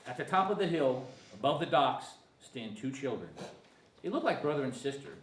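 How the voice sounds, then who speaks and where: A man's voice: medium pitch, lively intonation, normal speed. A man narrating screenplay directions at a table read, sometimes stumbling over the text. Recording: echoey room, faint noise.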